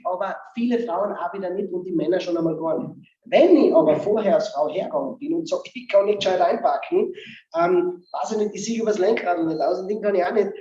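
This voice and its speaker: A man with an Austrian accent talks about humor in a lively, joking way with a mellow voice. His delivery is emphatic and animated. The sound is roomy and somewhat dull. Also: high-pitched. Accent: Austrian German. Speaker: humor speaker and seminar guest. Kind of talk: lively casual talk-show chat.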